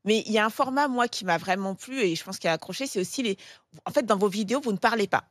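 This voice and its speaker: Woman in her forties, rapid chatty delivery, dry studio sound. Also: medium pitch, no room sound. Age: 40s. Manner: fast, chatty, with restarts and laughs. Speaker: talk show panelist, sports coach. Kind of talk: talk show questioning.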